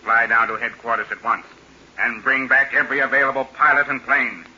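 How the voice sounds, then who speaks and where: A man's voice: medium pitch, fairly even intonation, a little fast, bright, forceful tone. A cold, wrathful villain boss in an old radio serial. He speaks formally and theatrically with a hard, tense edge. The recording is dull and a little noisy. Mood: indignant, wrathful. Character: scheming villain crime boss, menacing father, cold and wrathful. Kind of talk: 1940s radio serial acting.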